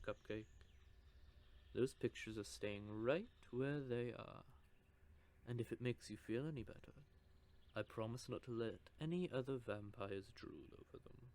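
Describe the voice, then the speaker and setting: A man voicing a cocky, possessive male vampire who teases with soft whispered asides, in an audio roleplay. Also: medium pitch, close to an everyday voice. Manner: teasing, smug, with soft whispered stretches.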